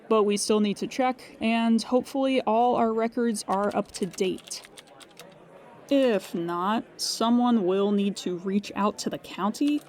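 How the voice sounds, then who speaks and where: A woman's voice: medium pitch, a little mellow, lightly acted. A woman playing a dryly weary, procedural insurance claims agent in an improvised, conversational audio drama. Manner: procedural, measured, wry asides when musing.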